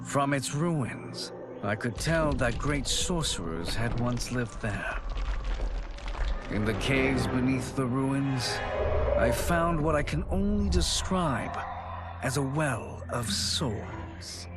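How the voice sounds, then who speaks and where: An actor playing a scheming, power-hungry sorcerer, narrating a video game cutscene in a deliberate, theatrical way. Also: male voice, medium pitch, lively intonation, over loud music. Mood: ominous, gloating.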